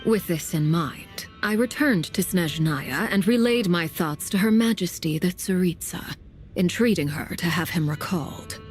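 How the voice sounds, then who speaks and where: A woman playing a guarded, authoritative commander in a video game, speaking formally in a measured, serious way. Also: fairly low pitch, soft background music, natural voice. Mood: formal, firm. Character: composed, guarded, authoritative female military commander.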